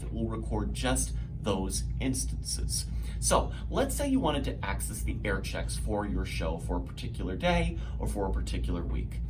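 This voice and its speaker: A man presenting a step-by-step software tutorial in a methodical, explanatory manner. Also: fairly low pitch. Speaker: instructor, presenter. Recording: clear background noise, room ambience.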